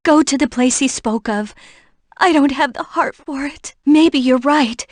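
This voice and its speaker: Gentle, high-voiced young woman. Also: unhurried, dry recording. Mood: resolute, sad. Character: gentle, earnest young woman grieving her beloved. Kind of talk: video game voice acting.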